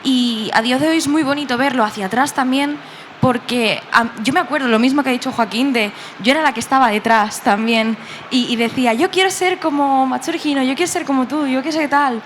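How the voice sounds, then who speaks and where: A woman's voice: sits high, brisk, a little hiss or hum. A woman who is a manga artist guest, talking enthusiastically and laughing easily in a casual interview. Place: busy convention hall.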